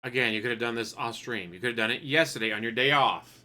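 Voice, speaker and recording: A man's voice: medium pitch, quick pace, sharp tone. A man commenting sarcastically over a streamer's clip.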